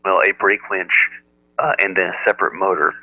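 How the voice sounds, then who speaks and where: An American man chatting casually as an amateur radio operator, with a halting delivery. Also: deep voice, steady tone, no echo. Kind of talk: casual ham radio chat. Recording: narrow-band, telephone-like radio audio.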